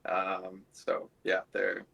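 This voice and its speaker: Low, gravelly laid-back man from Canada. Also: completely flat delivery, extremely slow, a bit bright. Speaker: podcast guest, event organiser. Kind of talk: casual chat.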